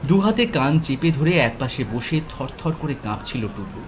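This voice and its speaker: A man's voice: mid-range voice, sing-song, moderate pace. A man narrating a scripted horror story with intense, suspenseful, dramatic delivery. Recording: loud ambient noise behind the voice.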